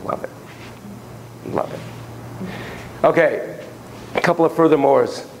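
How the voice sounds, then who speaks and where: An older man giving a chatty, animated university lecture in a classroom, heard from a camera at the back of the room. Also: on the high side, takes it slowly, a little hiss or hum, rough recording. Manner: animated, chatty, quoting clients in a raised voice. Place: classroom with students. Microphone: far camera at the back of the room.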